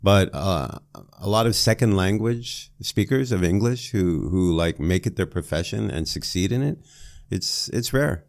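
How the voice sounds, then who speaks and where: A man in his thirties from Canada, a language teacher chatting as a podcast guest, filling his speech with "like" and "I mean", recorded in a small studio on a boom-arm mic. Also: lively intonation, measured pace, vocal fry. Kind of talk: casual conversation. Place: small studio with foam panels.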